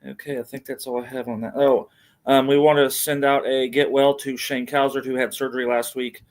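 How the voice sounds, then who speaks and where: A middle-aged man hosting a podcast, casually reading out wrestling news and announcements in a halting, hesitant way. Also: medium pitch, little pitch movement. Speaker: podcast host.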